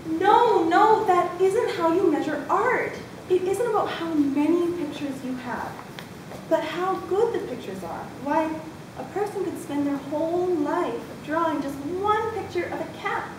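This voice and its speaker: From a distance in a very echoey room comes a high, brisk schoolteacher, acted by a woman. She is exasperated and firm, as in a staged school story, with clear noise rumbling behind her. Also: animated. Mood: firm, opinionated. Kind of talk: readers theatre school story performance.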